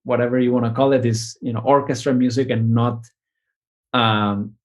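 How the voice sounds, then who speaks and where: A man speaking, at a middle pitch for a man. He talks about his work in a thoughtful, searching way and often restarts phrases. His sentences tend to end on a questioning "no?". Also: level tone, moderate pace. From Mexico. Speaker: composer. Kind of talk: conversational interview.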